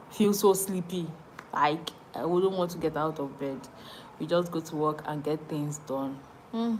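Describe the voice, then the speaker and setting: A woman's voice: low voice, slight background noise, rough recording. A young Nigerian woman with a Nigerian accent, chatting casually on a live stream, reading viewers' comments aloud and answering them, often repeating phrases as she thinks.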